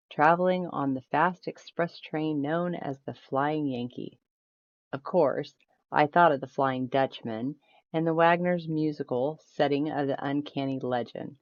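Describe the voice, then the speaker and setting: A woman reading a ghost story aloud as scripted storybook narration. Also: low-pitched. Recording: roomy echo, slightly dull sound.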